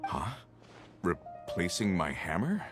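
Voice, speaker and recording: A man's voice: low voice, very animated, takes it slowly, music in the background, full character voice. A man voicing a weary, humble middle-aged laborer and family man in a video game, plain and earnest. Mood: puzzled, musing. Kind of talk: scripted video game dialogue.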